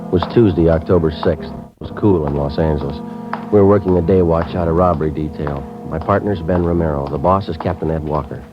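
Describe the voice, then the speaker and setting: An actor playing a stoic police detective, narrating and talking in a clipped, matter-of-fact way, in a 1950s radio drama. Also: male voice, extremely low pitch, fairly quick. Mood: calm. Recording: dull old radio broadcast with music.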